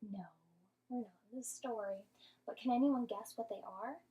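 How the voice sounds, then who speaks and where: A woman teacher giving an interactive storybook read-aloud to young children, expressive and patient, often asking and explaining. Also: a little high, normal speed, poor audio.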